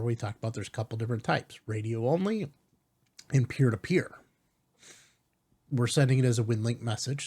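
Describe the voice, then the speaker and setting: A man with a slightly husky voice presents a tutorial in a casual, explanatory way. His pitch moves around in a lively manner at a middle height as he guides the listener step by step. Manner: explanatory, checks in with "right?". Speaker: tutorial host.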